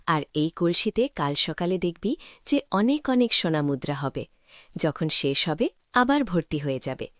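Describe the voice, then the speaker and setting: A woman's voice: on the low side, very animated, moderate pace, dry recording, clean studio sound. A woman playing a mysterious, benevolent cartoon benefactress who speaks with commanding assurance. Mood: assured, benevolent.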